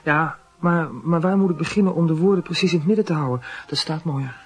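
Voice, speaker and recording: An actor playing an earnest, shy schoolboy in a radio play, speaking hesitantly and haltingly. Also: male voice, a little high, a little hiss or hum, natural voice. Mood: dutiful, hesitant. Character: earnest, shy schoolboy in love, dutiful son.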